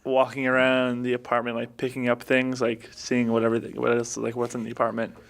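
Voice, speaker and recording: A man's voice: mid-range voice, monotone, a little fast, gravelly. A man playing a tabletop game, casually describing what his character does and thinks. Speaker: player in a tabletop game podcast.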